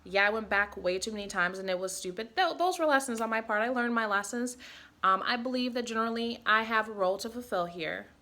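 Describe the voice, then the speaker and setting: A woman's voice: on the high side, a little fast, pushed and bright. A woman speaking in a personal vlog, a mother talking bluntly and with passion, swearing freely. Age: adult mother. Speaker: vlogger.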